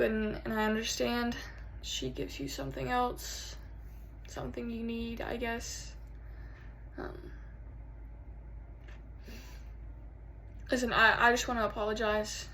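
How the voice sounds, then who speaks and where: An actress performs a rattled woman pleading with the lover who is leaving her. The voice sits high and the delivery is halting, full of stammers and repeated words. It is a theatrical monologue, recorded with slight background noise. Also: vocal fry, fairly bright tone, poor audio. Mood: hesitant pleading.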